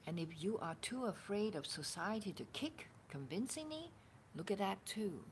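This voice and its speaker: A woman informally reading a spiritual dialogue aloud as a teacher, with a deliberate, expressive and at times halting delivery. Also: mid-range voice. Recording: background room noise.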